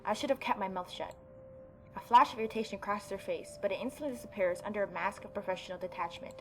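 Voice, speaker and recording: A woman gaming YouTuber reading a story game aloud and adding expressive, mocking asides. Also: medium pitch, fast, slight background noise. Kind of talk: let's-play reading aloud with reaction commentary.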